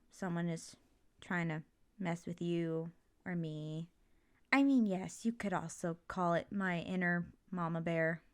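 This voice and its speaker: A woman playing a supportive, playful mother in an ASMR comfort roleplay, talking casually and reassuringly to her kid. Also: medium pitch. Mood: protective, playful. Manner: casual, reassuring, jokey, gently expressive. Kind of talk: ASMR comfort roleplay, one side of a conversation.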